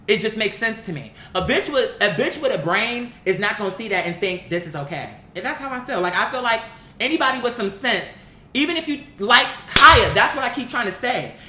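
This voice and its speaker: Woman, sharp bright voice, roomy echo, casual online rant, profane, indignant, emphatic repetition. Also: medium pitch, slight background noise. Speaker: online commentator reacting to viewer comments. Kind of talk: casual opinionated rant, reading comments aloud. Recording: echoey, roomy sound.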